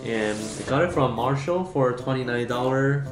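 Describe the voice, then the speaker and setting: A man's voice: medium pitch, expressive, moderate pace. A man in his thirties doing a casual, unscripted gadget unboxing as a vlogger. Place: small home dining room, indoors. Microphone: camera on the table or at arm's length. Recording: echoey room, music in the background.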